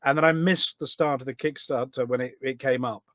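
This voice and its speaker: Middle-aged man, podcast guest, casual enthusiastic storytelling, mid-pitched voice, very dry room sound. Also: steady tone, brisk, a bit bright, dry recording. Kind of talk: casual chat. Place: indoors at a desk at home.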